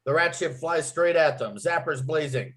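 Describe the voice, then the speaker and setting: A man's voice: fairly high pitch, normal speed, fairly bright tone. Man narrating a script in clipped phrases. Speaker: narrator and writer. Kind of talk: reading script stage directions aloud.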